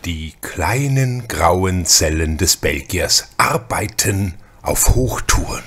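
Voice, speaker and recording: A man narrating a scripted radio crime drama in a measured, suspenseful manner. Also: sits low, animated, fairly bright tone, husky.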